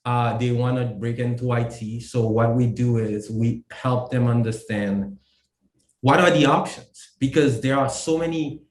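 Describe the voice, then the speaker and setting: A man from Haiti, a company co-founder, explaining his work in a casual interview, halting with many fillers and restarts. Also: mid-range voice, reverberant. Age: adult.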